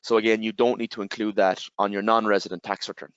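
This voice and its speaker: Irish man, low smooth voice. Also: quick pace, no echo. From Ireland. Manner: steady explaining with frequent "again" and "um". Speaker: tax webinar presenter. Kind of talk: explanatory webinar presentation. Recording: slightly dull, dry webinar audio.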